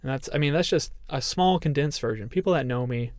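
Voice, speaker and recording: Podcast co-host, man in his thirties, earnest and reflective, smooth voice, dry close mic. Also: a little high, fairly quick, darkish tone, no room sound. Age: 30s. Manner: earnest, open, thoughtful. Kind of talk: casual reflective chat.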